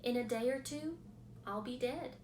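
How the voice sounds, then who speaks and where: A woman voicing a calm, wise, dying female spider who speaks softly and firmly, in an echoey room. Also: a little high, normal speed, slight background noise, voice pushed up, close to an everyday voice. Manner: quiet, soft, firm.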